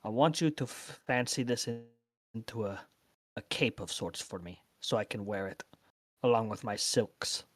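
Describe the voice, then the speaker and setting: A man's voice: lowish voice, moderate pace. A man playing a tabletop roleplay game, casually bantering and speaking partly in character.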